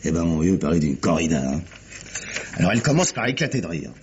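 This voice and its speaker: Fast-talking, eager police inspector. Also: male voice, sits low. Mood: excited storytelling. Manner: colloquial, animated storyteller. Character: chatty, eager male police inspector, proud of his findings. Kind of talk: mid-century French radio crime drama acting. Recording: dull old radio recording, clear hiss.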